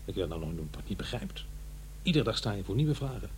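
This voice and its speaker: A man's voice: on the low side, very animated, a little fast, a little hiss or hum. An actor playing an awkward, defensive divorced father in middle age in a radio play, with a hesitant delivery. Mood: earnest, hesitant. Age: middle-aged. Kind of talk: radio play acting, naturalistic dialogue and narration.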